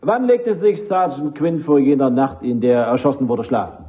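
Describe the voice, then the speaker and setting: A man's voice: medium pitch, moderate pace, warm tone, lo-fi sound, natural voice. A man playing a cocky, vain army major in a German radio play, a military prosecutor who speaks formally and with self-assurance. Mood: formal, probing.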